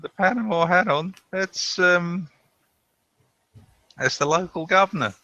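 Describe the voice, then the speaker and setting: A man voicing a blunt older Australian ship captain in a tabletop game, with a gravelly voice. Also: high voice, a little slow, bright, forceful tone, no room sound, muffled recording, voice pushed up, full character voice. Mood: gruff, hearty.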